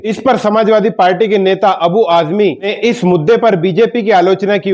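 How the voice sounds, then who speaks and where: A man's voice: high voice, a little mellow, highs cut off. A man hosting a news commentary, delivering scripted political remarks in an emphatic way and putting rhetorical questions to his viewers. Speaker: news commentator and channel host.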